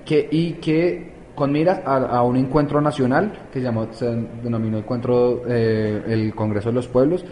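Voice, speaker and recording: A man's voice: mid-range voice, fairly even intonation, normal speed, hardly any pauses, warm tone, a little hiss or hum. A man from Colombia, answering interview questions as a youth activist, hesitant with many fillers and restarts.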